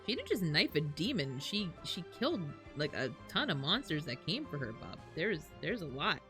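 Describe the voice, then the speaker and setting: A woman's voice: deep voice, big pitch swings, normal speed, fairly bright tone, faint music behind. A woman livestreamer reacting casually to a game, sarcastic and slangy, swearing freely. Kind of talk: casual live reaction commentary.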